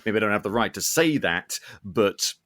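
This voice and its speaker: Low-voiced man chatting earnestly. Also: lively intonation, moderate pace, fairly bright tone. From Scotland, UK. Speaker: podcast host. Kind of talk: casual interview chat, opinionated.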